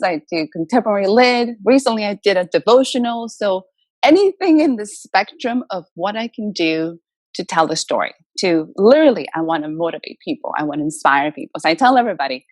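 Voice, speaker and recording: A woman's voice: medium pitch, no room sound. A woman from the Dominican Republic, a native Spanish speaker, giving emphatic, motivational answers in a conversational interview. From Dominican Republic, moved to Massachusetts as a child. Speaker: guest, author and motivational speaker.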